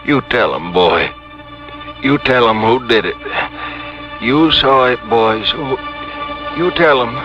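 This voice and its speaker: Over music, on a dull old radio recording, a dying man. He pleads weakly and desperately, his labored words coming extremely slowly. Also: mid-range voice, animated. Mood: desperate, fading. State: dying, weak, labored delivery. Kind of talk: old-time radio drama acting.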